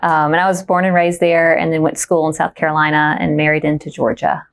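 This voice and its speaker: A woman's voice: sits low, level tone, quick pace, creaky. A woman in her thirties from Mississippi, an economic development director answering interview questions in a friendly, matter-of-fact way. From Mississippi, USA.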